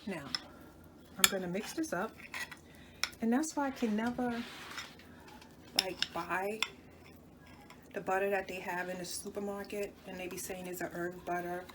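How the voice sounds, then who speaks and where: A woman's voice: mid-range voice, measured pace, long pauses, heavy background noise. A woman hosting a cooking channel, chatting casually to viewers as she demonstrates a recipe in her home kitchen, sounding a little stuffy from a cold and often correcting herself. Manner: chatty, talks to viewers while working, self-correcting.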